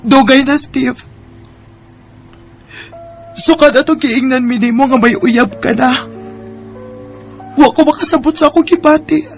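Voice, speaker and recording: Radio drama lead: lovesick young Cebuano Filipina, very high-pitched, earnest confession over soft music. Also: female voice, unhurried, long pauses. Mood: hurt, pleading. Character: lovelorn young woman confessing long-hidden love to old friend. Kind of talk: Bisaya radio drama acting. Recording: music behind the voice.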